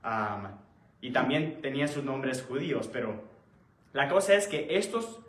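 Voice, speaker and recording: A man giving a conversational Bible study, explaining haltingly and often rephrasing as he goes. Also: mid-range voice, very animated, a bit bright. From born in Puerto Rico, raised in the United States. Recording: roomy, echoing sound.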